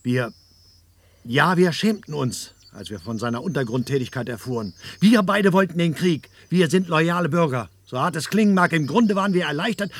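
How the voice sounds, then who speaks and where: An actor playing a determined grown son in a German radio play, speaking earnestly and with urgency. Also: male voice, a little high, breathy edge. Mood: earnest, defensive. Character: determined grown son, insistent loyal citizen.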